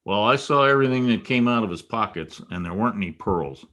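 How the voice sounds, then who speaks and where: An actor playing a wisecracking, world-weary private detective in a noir radio drama, wry and sardonic. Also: male voice, lowish voice, animated, quick pace, fairly bright tone. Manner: wry, sardonic, clipped tough talk. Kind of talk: old-time radio noir acting and first-person narration.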